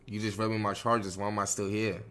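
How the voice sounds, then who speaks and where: Slangy man with a bright, forceful voice. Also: on the low side, flat delivery, fairly quick. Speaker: streamer role-playing a suspect. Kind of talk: improvised game roleplay, casual street slang.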